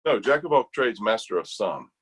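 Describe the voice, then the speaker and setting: Low-voiced American man, informal and rambling. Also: animated, normal speed, a bit bright, husky. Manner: rambling, informal, thinks aloud in broken phrases. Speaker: interview guest, bass gospel quartet singer. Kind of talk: casual interview chat.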